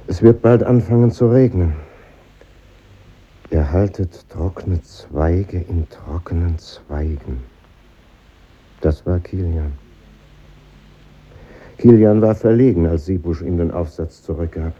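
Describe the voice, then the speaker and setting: An actor playing a brooding, introspective artist in a literary, deliberate manner, in 1950s radio drama style. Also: male voice, low voice, warm tone, husky, exaggerated voice. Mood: wistful, pensive. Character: brooding male artist looking back on his schooldays. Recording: muffled, hissy old radio recording.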